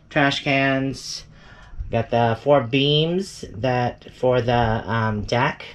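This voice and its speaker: A woman in her forties chatting casually to a handheld camera while driving and walking outdoors. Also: very deep voice. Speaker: RV vlogger. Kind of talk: casual vlog chat. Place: outdoors and in a truck. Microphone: camera at arm's length. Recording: muffled with loud road noise.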